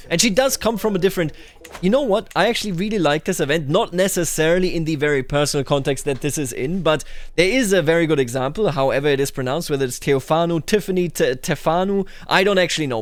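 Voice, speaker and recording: Higher-voiced man commentating a strategy game. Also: dry recording. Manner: thinks aloud, addresses game characters directly. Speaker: gaming video host.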